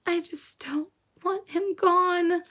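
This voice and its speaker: A young girl from a storybook, acted out by a woman. She sounds upset and anxious, with a high, whiny, pleading delivery. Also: steady tone, slow, bright, forceful tone, exaggerated voice. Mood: sad, quiet.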